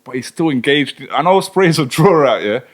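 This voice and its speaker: A man co-hosting a podcast, telling a story in casual chat full of slang. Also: on the high side. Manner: fast, animated, slangy storytelling. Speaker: podcast co-host.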